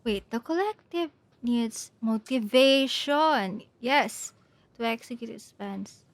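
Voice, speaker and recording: A woman's voice: on the high side, takes it slowly, no echo. A woman game streamer giving casual, reactive live commentary on a story game, prone to giggles.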